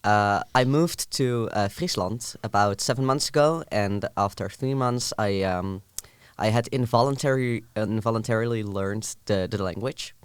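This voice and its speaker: A young man whose first language is Dutch, guesting on a podcast. He speaks in a measured, thoughtful way with a fairly low voice. The sound is dry and close. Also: clear, resonant tone, no room sound. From Netherlands. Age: young adult. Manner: thoughtful, measured, with frequent uh fillers. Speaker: guest, student. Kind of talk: casual chat.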